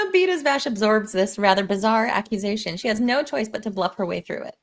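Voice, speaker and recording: A woman's voice: mid-range voice, lively intonation, fairly quick. A woman reading stage directions as narrator in a live amateur table read, with a dramatic storytelling manner.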